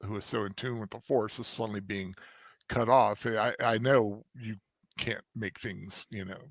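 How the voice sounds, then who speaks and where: A man's voice: fairly low pitch, steady tone, moderate pace, no echo, studio quality. A man co-hosting a casual podcast, talking hesitantly and searching for words. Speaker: podcast co-host. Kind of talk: casual discussion.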